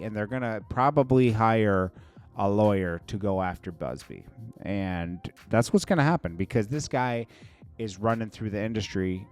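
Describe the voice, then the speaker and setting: A man's voice: lowish voice, a little mellow. A man hosting a show with casual, opinionated gossip commentary, speaking in a blunt, slangy way. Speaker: show host.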